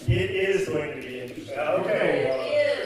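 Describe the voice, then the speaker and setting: A man's voice: a little high, sing-song, moderate pace, a loud crowd. A man hosting a live stage show, announcing and chatting to the audience. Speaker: host of a live radio-style stage show. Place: community center hall. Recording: echoey hall, distant mic, loud audience.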